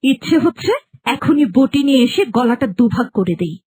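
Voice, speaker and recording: Lady doing a bossy young daughter in law in a cartoon, high voice, theatrical, music behind. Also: expressive, moderate pace, background noise. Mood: seething. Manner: scolding, theatrical. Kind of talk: cartoon melodrama acting. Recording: music under the voice.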